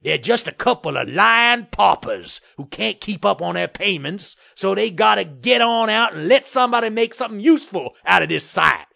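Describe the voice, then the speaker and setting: A man voicing a blustering, bullying land developer in an audiobook story, with a loud, growling, puffing delivery. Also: high-pitched, animated, moderate pace, gravelly, few pauses, pitched above the performer's own voice, full character voice. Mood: angry, complaining.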